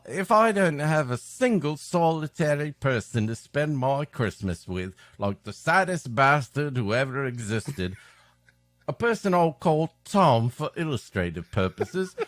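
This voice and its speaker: Animated man riffing sarcastically. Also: a little high, dry recording. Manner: sarcastic, mock-serious riffing. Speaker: podcast co-host. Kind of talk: comic banter and improvised riffs.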